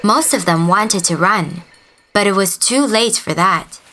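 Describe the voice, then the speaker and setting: A woman storyteller reading a scripted children's tale expressively, with deliberate dramatic emphasis. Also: medium pitch, pushed and bright, faint music behind.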